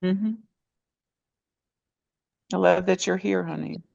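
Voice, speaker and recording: Woman minister, gravelly texture, halting restarts, affectionate terms for others. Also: on the low side, steady tone, lo-fi sound. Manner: warm, calls people honey; halting, repeats words. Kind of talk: conversational interview answers.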